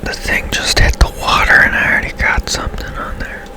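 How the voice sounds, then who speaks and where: A man giving hushed ASMR game commentary in a breathy, unvoiced whisper, musing as he plays in an unhurried way. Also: poor audio. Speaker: gaming streamer.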